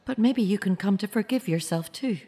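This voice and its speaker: A woman acting a bereaved, searching mother in an audio drama, naturalistic and halting, with anxious care. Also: medium pitch, mellow, slightly rough. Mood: reflective, forgiving.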